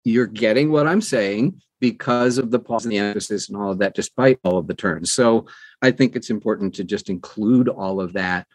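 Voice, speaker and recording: Older man, measured talker, dry close sound. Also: lowish voice, no echo. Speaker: audiobook narrator. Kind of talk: conversational interview.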